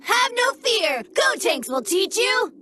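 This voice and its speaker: Cocky little kid fighter, anime game dub, extremely high voice, excitable, braggy, swooping intonation. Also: female voice, slow pace, slight background noise, exaggerated voice. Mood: pestering, cheeky. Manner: bragging, excitable, childish sound effects. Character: cocky, boisterous, bratty little boy fighter. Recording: loud music over the voice.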